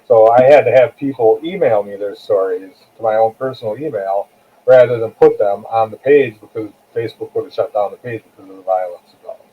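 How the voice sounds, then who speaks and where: Man on a tinny, phone-like line, husky. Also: lowish voice, fairly quick. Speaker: author, interview guest. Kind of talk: conversational interview talk. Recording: muffled, phone-like narrow sound.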